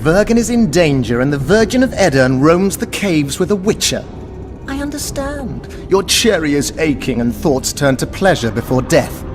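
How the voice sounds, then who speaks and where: A man's voice: a little high, sing-song, moderate pace. An actor playing a cruel, scornful, crude warlord king in a fantasy game, with theatrical villain delivery. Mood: scornful menace. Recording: loud background music.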